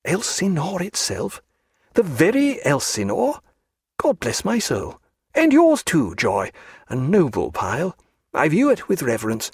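A man voicing an excitable, learned birdwatcher aboard a sailing ship in an audiobook, with formal, old-fashioned, exclamatory speech. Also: medium pitch, moderate pace, husky, dry recording, exaggerated voice. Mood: rapt excitement. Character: excitable, learned ship's man, keen birdwatcher, easily outraged.

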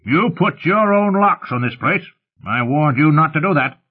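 A man's voice: a little high, expressive, moderate pace, voice pushed up. A man voicing an angry, bullying old patriarch who bellows and drags out his words. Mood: domineering. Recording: muffled phone-like narrow sound.

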